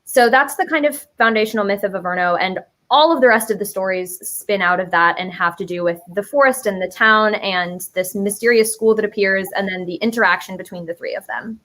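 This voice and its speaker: A woman's voice: medium pitch, fairly quick, few pauses, fairly bright tone. A young adult woman chatting casually as an interview guest, explaining her creative work in a giggly, slangy, playful way.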